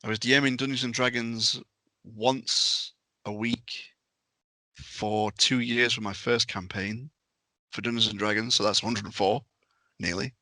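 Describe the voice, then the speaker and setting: Bright, low-pitched man in casual conversation. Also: steady tone, a little slow, no room sound. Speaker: podcast panelist and game master. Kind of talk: casual podcast chat.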